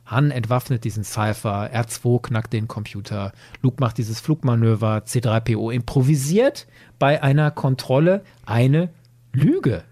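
A man's voice: medium pitch, a little slow, mellow, dry recording. A man hosting a podcast, giving his opinions analytically in a conversational review discussion. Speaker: podcast host.

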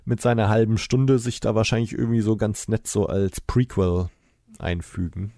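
A man's voice: on the low side, flat delivery, moderate pace, vocal fry, mellow, no room sound, studio quality. A man talking as a podcast guest in a casual, conversational way, thinking aloud as he goes.

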